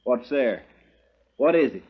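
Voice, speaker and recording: An actor playing a loyal, deferential frontier deputy with a folksy rural American drawl in a 1950s radio western. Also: male voice, mid-range voice, expressive, takes it slowly, breathy edge. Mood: uneasy. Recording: thin, phone-like old radio recording.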